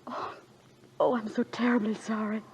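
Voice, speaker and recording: An actress playing a spirited young wife and new mother on a farm, dramatic and quick to scold, in old film comedy style. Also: fairly high pitch, little pitch movement, a little mellow, lightly acted. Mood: remorseful. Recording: dull, noisy old film soundtrack.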